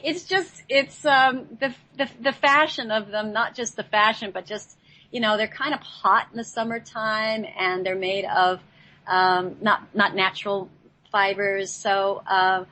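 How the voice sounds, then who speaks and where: A woman's voice: mid-range voice, steady tone, measured pace, pushed and bright, low-quality recording. A woman speaking as a radio show guest, explaining things in an earnest, hesitant, conversational way. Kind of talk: casual conversational interview.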